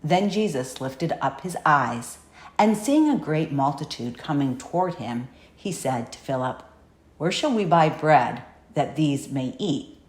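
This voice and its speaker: A woman narrator reading scripture from a script in a measured, solemn way. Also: sits low, animated, reverberant. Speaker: audiobook narrator.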